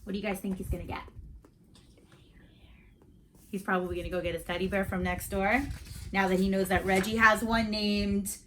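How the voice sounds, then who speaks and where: A woman reading a story aloud to children in an expressive, teacherly way, speaking directly to young listeners. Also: mid-range voice, normal speed, long pauses, slight background noise.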